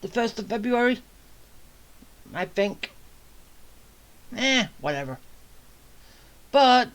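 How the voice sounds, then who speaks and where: A game streamer thinks aloud in casual, rambling commentary. The voice sits at a middling pitch and moves slowly, often slipping into muttering to itself. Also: big pitch swings, a bit bright. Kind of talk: casual gameplay commentary, talking to self.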